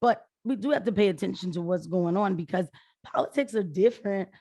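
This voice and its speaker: A hoarse American woman in her late thirties talking casually to viewers about the news in an informal, rambling way. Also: mid-range voice, expressive, fairly quick, dark tone, no echo, studio quality. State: hoarse after being sick. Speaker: political video commentator.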